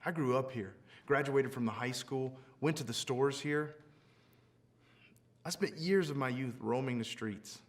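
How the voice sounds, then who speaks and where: A man playing a devout, gently self-deprecating preacher, delivering a measured, reflective film-drama sermon with pauses. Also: mid-range voice, close to an everyday voice. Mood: earnest, reflective. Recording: music behind the voice.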